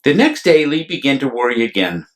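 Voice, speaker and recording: A man reading a picture book aloud to children as a storyteller, deliberate and expressive, with explanatory asides. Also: mid-range voice. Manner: deliberate, animated, explanatory asides to young listeners.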